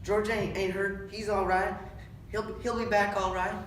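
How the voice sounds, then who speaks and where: A man's voice: sits high, flat delivery, measured pace, a bit bright, voice pushed up. A young man playing a lonely, bitter, taunting stable hand in a readers' theatre reading, with needling persistence and rural dialect. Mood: placating. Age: young adult. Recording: echoey room, distant mic, background noise.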